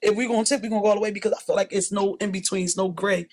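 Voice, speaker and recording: A woman in her thirties from West Philadelphia talks in a raspy voice with a blunt, hardened edge. She speaks candidly as an interview guest, seated on a sofa in a small indoor studio. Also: mid-range voice, steady tone, very fast, a little mellow. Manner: blunt, tough, street-worn storytelling.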